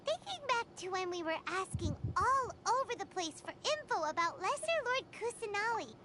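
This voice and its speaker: A woman voicing a tiny, excitable, childlike fairy companion in an anime video game, squeaky and chirpy. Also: moderate pace. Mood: smug, surprised. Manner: chirpy, emphatic, refers to self in third person. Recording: game stream with loud background noise.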